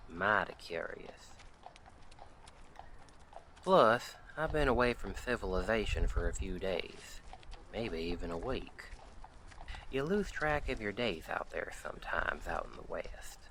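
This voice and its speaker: A man playing a friendly, protective Old West sheriff in a roleplay audio drama, talking to the listener in folksy, unhurried western phrasing. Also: low voice, very animated, a bit bright, a little hiss or hum, exaggerated voice, low-quality recording. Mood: easygoing.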